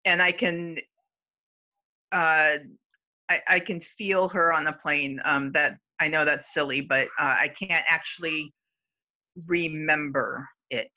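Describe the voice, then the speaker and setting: A woman being interviewed at home in a casual chat. She answers slowly and hesitantly, with many fillers. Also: deep voice, pushed and bright, dull audio.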